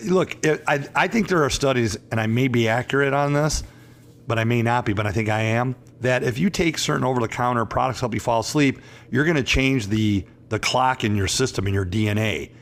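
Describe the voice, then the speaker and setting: A hoarse man in his fifties hosts a casual talk show. He talks in long, rambling, self-assured runs. His voice sits at an ordinary middle pitch. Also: fairly quick, slight background noise. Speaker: attorney and show host.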